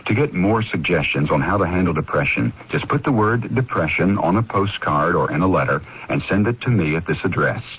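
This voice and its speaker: A man's voice: low-pitched, lively intonation, brisk, few pauses, slight background noise. A man hosting an inspirational radio spot, giving scripted advice straight to the listener. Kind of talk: scripted direct-address advice. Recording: muffled old radio broadcast.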